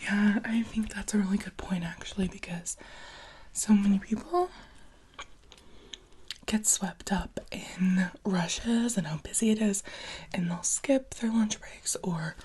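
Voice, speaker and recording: A woman speaking very softly and breathily, often in a whisper, as a gentle, encouraging interviewer in an ASMR roleplay. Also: medium pitch, a little slow, breathy edge. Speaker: ASMR roleplayer playing a restaurant job interviewer.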